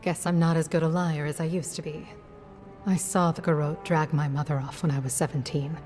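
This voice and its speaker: A woman playing a guarded, wry, world-weary protector of her people in a video game, with a dry and measured delivery. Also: sits low, level tone, a little mellow, faint music behind, lightly acted. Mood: wry, softening.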